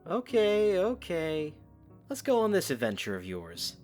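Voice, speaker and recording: An actor playing a young knight, earnest and dutiful with a dry teasing streak, in an audio drama. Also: male voice, fairly high pitch, huge pitch swings, unhurried, a little mellow, faint music behind. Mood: surprised, agreeing.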